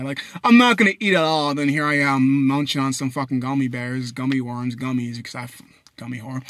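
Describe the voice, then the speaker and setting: A young man from Haiti, talking into his phone in a parked car at night, blunt and profane, swinging between loud bursts and low mutters. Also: dry recording, studio quality. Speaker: vlogger. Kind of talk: rambling personal monologue. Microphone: phone camera at arm's length.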